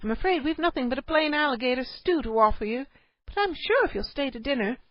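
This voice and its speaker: A woman voicing a fussy, scolding cave housewife who talks in shrill exclamations. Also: lively intonation, quick pace, no room sound, highs cut off. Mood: flustered.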